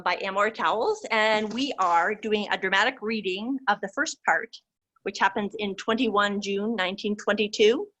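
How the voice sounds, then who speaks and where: A woman's voice: mid-range voice, a bit bright, low-quality recording. A woman presenting a group dramatic reading in an informal spoken introduction.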